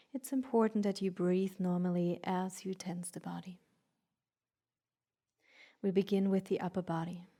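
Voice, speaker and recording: Woman, warm relaxed tone, soft and breathy, mid-pitched, slow guided meditation, gentle instructions, long pauses.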